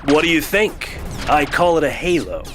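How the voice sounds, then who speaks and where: Guy doing a smug mocking robot villain for audio drama, pitch all over the place, bit of noise. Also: sing-song, moderate pace. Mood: boastful. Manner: theatrical, mocking. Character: smug male robot rebel leader, taunting and persuasive.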